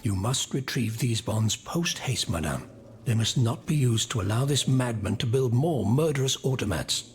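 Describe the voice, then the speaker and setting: An actor playing an anguished, proud former royal minister in a video game, formal and wordy. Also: male voice, mid-range voice, animated, moderate pace, close to an everyday voice. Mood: indignant, proud. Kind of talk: video game cutscene acting.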